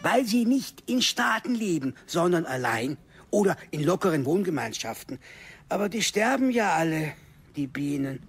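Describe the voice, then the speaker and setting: A man's voice: on the high side, sing-song, moderate pace, breathy edge, full character voice. An actor playing a lonely, rambling older village man in a radio play. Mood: chatty. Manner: rambling, eager to talk.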